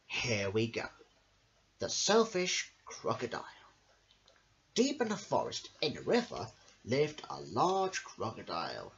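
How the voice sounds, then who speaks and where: Male amateur storyteller for children's tales: sing-song, very animated intonation, mid pitch, dull lo-fi home recording. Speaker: amateur storyteller, channel host.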